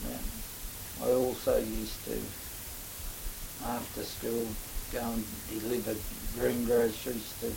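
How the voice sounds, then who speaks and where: Older man, Australian accent, gravelly voice, flat delivery, halting reminiscence, faint hiss. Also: mid-range voice. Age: older, 70s or above. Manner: halting, rambling recollection. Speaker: interviewee recalling local history. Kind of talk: oral history reminiscence. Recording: faint hiss, amateur interview recording.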